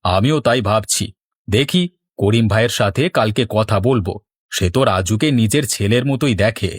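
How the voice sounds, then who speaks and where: A man voicing a worried, mild middle-aged village father in a cartoon, speaking in a measured, earnest way. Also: lowish voice, dry recording, studio quality, close to an everyday voice. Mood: concerned. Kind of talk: scripted Bengali cartoon dialogue.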